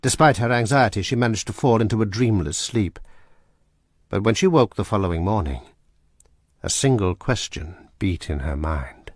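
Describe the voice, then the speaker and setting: A male narrator with a fairly low voice, reading a radio drama in a measured, dramatic way. His pitch moves a lot, and the recording is dry and close. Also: lively intonation, no room sound. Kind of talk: scripted narration.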